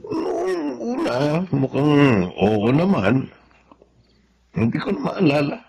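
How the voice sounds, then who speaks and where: An actor playing a humble, hardworking, stoic farmhand in a Filipino radio drama, speaking plainly and modestly. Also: male voice, fairly high pitch, huge pitch swings, slow pace, vocal fry, dark tone, full character voice. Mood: reflective.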